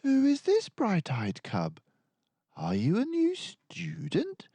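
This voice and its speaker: A friendly female bear schoolteacher from a children's story, voiced by a man. The pitch is raised and very lively. The manner is sing-song and greeting, in a dry recording. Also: slow pace, vocal fry, breathy edge, no echo, full character voice. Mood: welcoming, puzzled.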